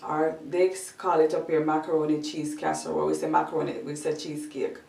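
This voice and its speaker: A woman with a Jamaican accent chatting slowly in a home vlog, thinking aloud with many ums. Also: deep voice, flat delivery, creaky, darkish tone. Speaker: vlogger. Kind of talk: casual vlog chat. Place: room at home. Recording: echoey room, voice a bit far from the mic.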